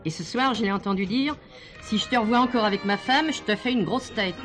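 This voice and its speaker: A woman acts out an older former showgirl, nostalgic and proud, in a stage comedy-mystery. She gossips with emphasis in a colloquial, chatty way at a middling pitch. Music is clearly audible behind her. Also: a little fast, fairly bright tone, natural voice. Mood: gossipy.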